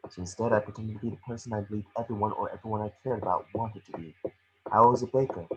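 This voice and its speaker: A man reading the part of a gentle, remorseful witch ghost mentor in an amateur table read, earnest and measured. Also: on the low side, fairly even intonation, highs cut off, a little hiss or hum, lightly acted. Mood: wistful.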